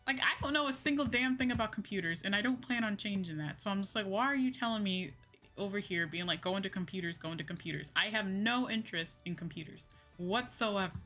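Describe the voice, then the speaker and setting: A woman's voice: medium pitch, lively intonation, fast talker, sharp tone. A young woman streamer chatting casually on a livestream, rambling and repeating her phrases for emphasis. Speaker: VTuber streamer. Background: background music.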